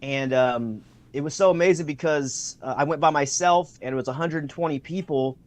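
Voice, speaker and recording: A man's voice: a little high, moderate pace. A man talking as a guest over a video call, enthusiastic and full of fillers, acting out what people said in his stories. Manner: enthusiastic, acts out quoted remarks, many fillers. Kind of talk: conversational interview storytelling. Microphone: remote video call.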